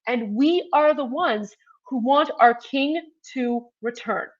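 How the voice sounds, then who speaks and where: A woman's voice: high-pitched, slow, muffled recording. A woman in her forties hosting her own show, talking passionately and emphatically in casual commentary with many fillers and repetitions. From Iran. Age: 40s. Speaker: show host.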